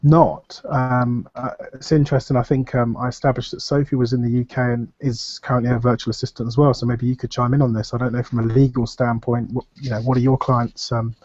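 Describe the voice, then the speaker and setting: A man's voice: mid-range voice, little pitch movement, brisk, hardly any pauses, darkish tone, no room sound. Man with a nasal cold voice. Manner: rambling, hesitant, many fillers. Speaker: panel guest. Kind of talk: casual video call discussion. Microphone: computer microphone on video call. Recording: dull dry video call audio.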